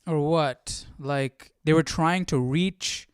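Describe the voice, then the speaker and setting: A man's voice: on the high side, slow pace, clear, resonant tone, no room sound, studio quality. A man hosting a livestream, chatting casually and thinking aloud in a rambling way. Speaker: livestream host of a Bible study series.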